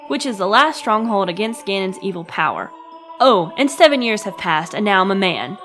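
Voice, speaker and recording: A woman's voice: medium pitch, pushed and bright. A young adult woman delivering scripted comedic narration like a diary entry, with a sarcastic, crude and emphatic manner. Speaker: host of a comedic gaming web series.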